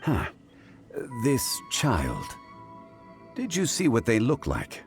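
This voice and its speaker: A man voicing a composed, erudite gentleman in a video game, speaking in a formal, deliberate way. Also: mid-range voice, wildly swooping pitch, gravelly, heavily acted. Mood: calm, pondering. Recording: music behind the voice. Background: game music.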